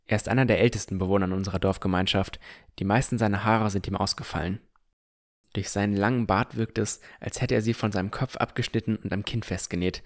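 A man narrating a fantasy audio drama in the first person from a script. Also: on the low side.

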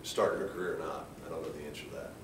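An American man speaking as a coach at a post-game press conference, in a halting, measured way with frequent restarts. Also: fairly even intonation, a bit bright. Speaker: head basketball coach. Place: indoor press room at a table. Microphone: distant camera. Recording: roomy, distant mic with faint rumbling noise.

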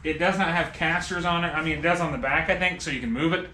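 A man's voice: a little high, fast, pushed and bright. A middle-aged man chatting casually as a vlogger, plain-spoken and laid-back. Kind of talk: casual product review chat. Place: cluttered home garage. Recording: roomy garage echo, background noise.

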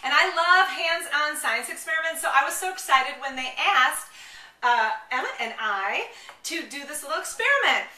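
A woman's voice: high voice, animated, moderate pace, sharp tone. A woman in her thirties presenting a casual home product demonstration, enthusiastic and chatty as if with children. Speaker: mom blogger presenter. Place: home kitchen, indoors. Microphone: camera a few steps away. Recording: roomy, distant camera microphone.

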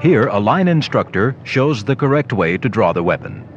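A man narrates an instructional film in a low-pitched voice with very lively intonation. His scripted delivery comes through a dull old videotape with faint noise. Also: normal speed.

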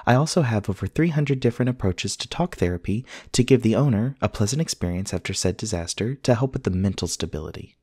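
A man plays a courteous male android companion in an audio roleplay. His voice sits fairly low and is recorded close and dry. He speaks with formal, careful precision, earnest and literal. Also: animated, mellow, breathy edge, dry recording. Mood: matter-of-fact. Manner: formal, measured, precise diction. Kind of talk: scripted ASMR roleplay acting.

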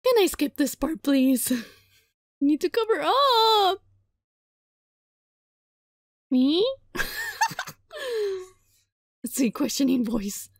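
A woman reading a visual novel aloud as a let's play, dramatic and playful, in a giggly, flustered, excitable manner. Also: sits high, slow pace, pauses often, dry recording, clean studio sound. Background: music.

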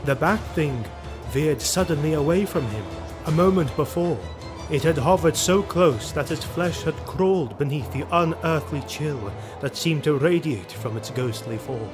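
Man narrating dark fantasy over music. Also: fairly high pitch, animated, moderate pace. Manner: grave, dramatic storytelling. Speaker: audio drama narrator. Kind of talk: scripted dramatic fantasy narration. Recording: music behind the voice. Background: dramatic music.

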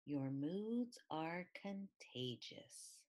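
Woman storybook reader, lowish pitch, unhurried pace, expressive and deliberate delivery for a picture book. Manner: expressive, deliberate reading with questioning lilts. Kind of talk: picture book read-aloud.

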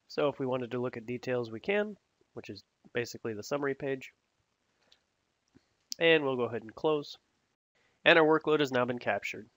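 A man giving a step-by-step software tutorial over a screen recording, steady and explanatory, with frequent "uh" fillers. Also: medium pitch, no room sound. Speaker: product technologist giving a software demo.

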